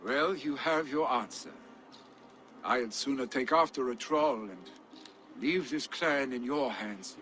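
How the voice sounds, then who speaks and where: Young viking, earnest, boyish, bright tone, slow delivery, faint game ambience. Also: male voice, medium pitch. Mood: wistful. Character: restless young male Viking jarl's son. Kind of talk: video game voice acting.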